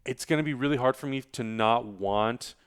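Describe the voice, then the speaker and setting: Clear-voiced man co-hosting a review show. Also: mid-range voice, moderate pace. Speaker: film review show co-host. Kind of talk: casual unscripted movie review chat.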